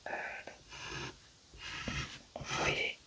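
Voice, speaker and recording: A young woman doing a whispered ASMR roleplay as a scientist, commenting step by step in a hushed, hesitant way. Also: drawn-out words, background noise. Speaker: ASMR creator playing a scientist.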